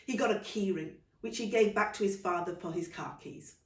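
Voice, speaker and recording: A woman author reading her own children's story in animated storybook narration. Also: medium pitch, moderate pace. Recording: roomy, distant microphone.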